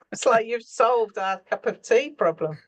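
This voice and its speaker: A woman's voice: fairly high pitch, expressive, unhurried. Female panel guest, scriptwriter. Conversational and anecdotal, wanders off mid-thought in a chatty style.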